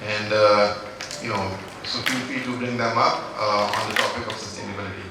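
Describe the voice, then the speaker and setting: A man's voice: fairly low pitch, little pitch movement, a little fast, heavy background noise. A man from India moderating a business panel, polite and hesitant with many fillers. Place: large conference hall. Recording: echoey hall from far off.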